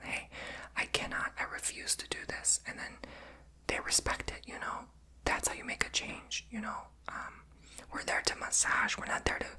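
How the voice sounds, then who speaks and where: A speaker whispering throughout in a breathy voice, telling personal stories in a rambling, candid, chatty way for ASMR. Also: sharp tone, poor audio. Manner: rambling, chatty, many fillers; confessional and candid.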